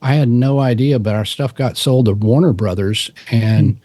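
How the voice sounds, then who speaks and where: A middle-aged man from Texas with a low voice, chatting as a podcast guest in a relaxed, rambling way with frequent restarts. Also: moderate pace, no echo. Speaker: podcast guest, musician and cryptid researcher. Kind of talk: casual interview storytelling.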